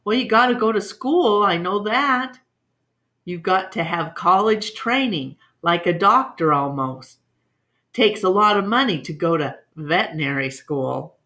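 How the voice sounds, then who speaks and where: Storybook country father, stern and practical, blunt folksy talk, measured pace read by a woman. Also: medium pitch, animated, sharp tone. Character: stern, practical rural father of a young family.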